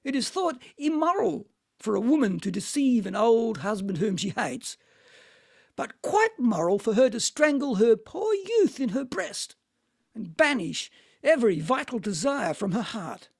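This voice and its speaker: A brooding, embittered middle-aged man from a stage drama, read by a man. The voice is rough and creaky, and it rises and falls dramatically with scorn and envy. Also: very high-pitched, sing-song, measured pace, exaggerated voice. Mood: resentful. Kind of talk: amateur play reading. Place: at home on a video call. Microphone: video call microphone.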